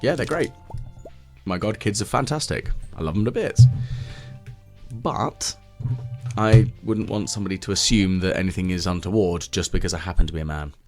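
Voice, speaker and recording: A British man with a deep voice giving relaxed, meandering gameplay commentary with gentle, wry asides. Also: normal speed, pauses often, soft background music. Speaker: let's play video host.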